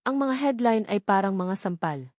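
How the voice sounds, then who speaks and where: A woman with a Filipino accent narrating a scripted story in a dramatic, deliberate way. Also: mid-range voice, expressive, darkish tone, no room sound, clean studio sound. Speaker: audiobook narrator.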